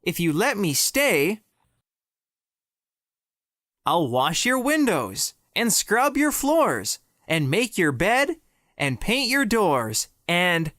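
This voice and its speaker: A scraggly young boy mouse with no home, pleading in a lowered, earnest voice that still swoops around a lot, as a woman puts him on. The delivery is slow and unhurried, recorded close and dry. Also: sing-song, fairly bright tone, no echo, exaggerated voice. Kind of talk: storybook read-aloud.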